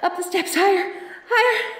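A woman's voice: extremely high pitch, normal speed, fairly bright tone. Woman acting a theatrical femme fatale, roomy echo. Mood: panicked, pleading. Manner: theatrical, pleading or teasing. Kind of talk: audio roleplay acting addressed to the listener. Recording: roomy echo, distant from mic.

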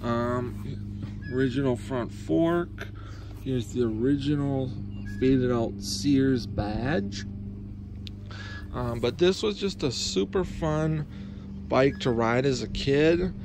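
Gravelly young man chatting casually outdoors. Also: mid-range voice, slow pace, long pauses. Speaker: vlogger reviewing vintage bikes. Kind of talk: casual unscripted review to camera. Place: outdoors, in a yard and driveway. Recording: outdoor handheld recording with ambient noise.

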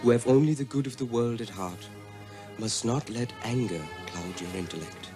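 A man voicing a loyal younger prince in an animated epic, calm, measured and earnest, with music behind. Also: medium pitch, lightly acted. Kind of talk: formal animated character acting.

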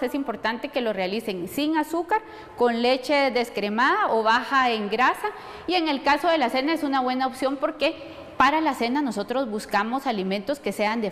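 A middle-aged woman explaining things as a nutrition expert answering questions. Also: sits high. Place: studio set. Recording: long hall reverb.

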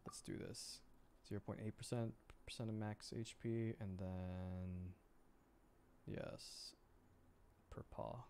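A man's voice: lowish voice, steady tone, slow, long pauses, darkish tone. Man thinking aloud over background noise. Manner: thinking aloud, muttering to himself. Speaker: VTuber game streamer. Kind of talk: casual livestream gameplay chat.

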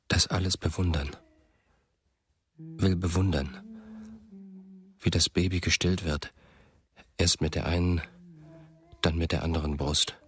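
A very husky, brooding narrator. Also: male voice, low-pitched, a bit bright, exaggerated voice. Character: brooding male narrator, old friend of a missing writer. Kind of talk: German radio drama acting, first-person narration.

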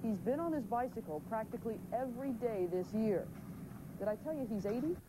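A woman reporter narrating a news feature in a measured, wry way. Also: on the high side, expressive, background noise.

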